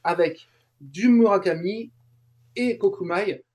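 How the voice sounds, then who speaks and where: A man chatting casually as an interview guest, explaining in halting, repetitive phrases. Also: high voice, darkish tone. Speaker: guest, translator and YouTuber. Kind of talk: casual interview chat about a fan event.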